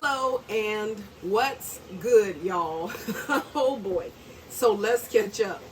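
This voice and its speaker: A woman's voice: medium pitch, very slow. A woman, a bit congested and nasal, chatting casually to camera in a rambling, friendly way. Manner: chatty, rambling, warm asides. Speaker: homesteading vlogger. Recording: distant mic, faint background noise.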